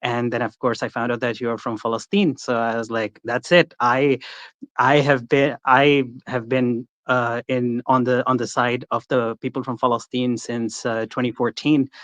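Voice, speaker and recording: A man's voice: mid-range voice, steady tone, moderate pace, a bit bright, dry recording. A man calling in to a live stream, telling his story in a rambling way with many restarts. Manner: rambling, lots of restarts and fillers. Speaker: caller. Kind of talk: casual call-in, personal story. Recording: dull, muffled call audio.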